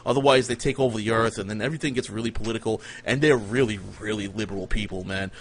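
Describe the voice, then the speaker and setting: A man's voice: on the low side, fast. A man improvising tall tales casually as a livestream host, ranting and rambling with plenty of swearing. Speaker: livestream host telling stories. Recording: noisy live stream room. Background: clear background noise.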